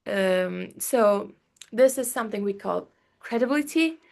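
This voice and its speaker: A woman's voice: mid-range voice, lively intonation, a little slow, creaky, darkish tone. A young woman with a Turkish accent giving a class presentation, hesitant, with many fillers and frequent "okay?" checks. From Turkey. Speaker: student presenter.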